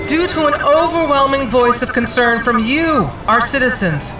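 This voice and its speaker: A woman's voice: sits high, expressive, moderate pace, distant mic, over music. A woman playing a formal city council chair, announcing officially in an audio drama.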